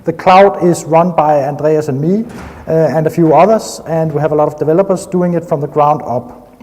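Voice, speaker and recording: A man's voice: on the high side, normal speed, a little mellow. A man from Denmark with a Danish accent, giving an enthusiastic technical conference talk with frequent hesitations. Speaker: conference speaker. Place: conference hall. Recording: long hall reverb.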